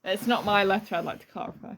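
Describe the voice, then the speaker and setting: A woman's voice: mid-range voice, steady tone, fast talker, a bit bright. A woman co-hosting a true crime podcast, switching between dramatic reading and casual, irreverent banter. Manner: playful, irreverent, swears casually.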